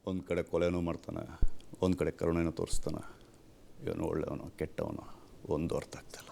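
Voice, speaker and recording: A man playing a brooding film villain in hushed, puzzled tones that sink toward a whisper. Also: low voice, flat delivery, moderate pace, gravelly, slight background noise.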